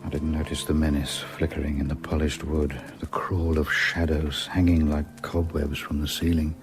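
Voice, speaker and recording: Deep-voiced English gentleman solicitor. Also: level tone, a little hiss or hum. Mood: brooding, uneasy. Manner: polite, formal, measured. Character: courteous, uneasy older gentleman solicitor, loyal friend. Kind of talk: radio drama acting with brooding narration.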